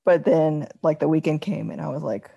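A woman's voice: low voice, normal speed, creaky, mellow, slightly rough, no echo, muffled recording. A young adult woman chatting informally as a podcast co-host, with a wry, teasing way of talking. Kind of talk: casual banter between siblings.

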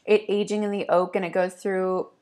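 A woman's voice: mid-range voice, little pitch movement, moderate pace, a little mellow. Young woman from upstate New York, podcast co-host, chatty, detailed explainer. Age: young adult. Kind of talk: casual chat.

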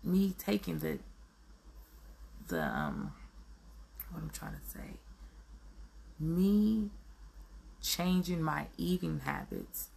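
A woman with a raspy, allergy-hoarse voice, speaking in an earnest, self-affirming solo monologue and often pausing to search for words. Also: on the low side, sing-song, a little mellow, slight background noise.

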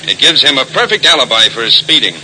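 An actor playing a sensible, methodical American police detective in an old radio drama, speaking in a crisp, reasoned way. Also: male voice, medium pitch, very animated, fast talker, sharp tone, a little hiss or hum, poor audio. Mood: dry, resigned. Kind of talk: 1940s radio drama acting.

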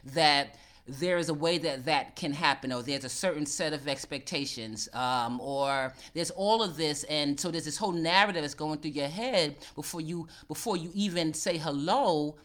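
A woman's voice: low voice, moderate pace, few pauses, fairly bright tone. A woman co-hosting a casual advice chat, candid and laughing often, swearing freely and stretching out words for emphasis.

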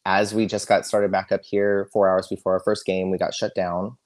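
A middle-aged man with a loud, very deep voice talks candidly as a podcast guest. He chats freely and breaks into laughter often. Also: level tone, moderate pace. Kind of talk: casual interview chat.

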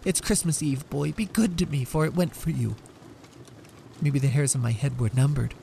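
A man's voice: on the high side, moderate pace, vocal fry, dark tone, a little hiss or hum, voice pushed up. A man voicing an eager, sweet young wife who pleads earnestly, in a dramatic storybook reading. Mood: sweet, pleading.

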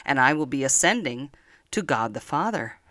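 An older woman voicing a gentle, calm male teacher in a children's Bible story, giving quiet instructions. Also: extremely low pitch, moderate pace, dry recording, clean studio sound, full character voice.

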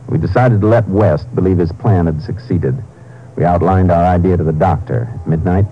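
An actor playing a calm, methodical Texas Ranger in a 1950s radio crime drama, speaking in terse, matter-of-fact lines. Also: male voice, low-pitched, dull audio. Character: calm, methodical male Texas Ranger narrating his case. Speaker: lead actor and narrator. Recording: band-limited vintage radio, music behind.

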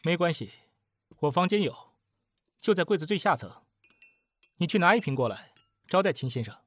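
An actor playing a cool, sardonic young roommate in an audio drama, composed yet pointed and needling. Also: male voice, a little high, big pitch swings, normal speed, dry recording. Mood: polite, pointed. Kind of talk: Chinese audio drama acting.

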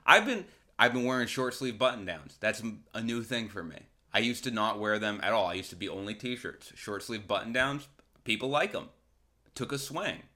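A man's voice: lowish voice, bright, forceful tone. A man hosting a podcast solo, ranting casually and comedically with sarcastic, profane, colloquial talk and acted-out imagined quotes. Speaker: podcast host.

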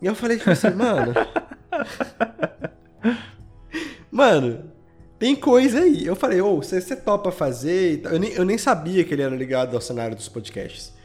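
Man, Minas Gerais Brazilian accent, fairly high voice, casual slangy storytelling, music behind. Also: very animated, normal speed, long pauses, darkish tone. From Belo Horizonte, Brazil. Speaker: guest, audio drama podcast creator. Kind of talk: casual anecdotal chat. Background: background music.

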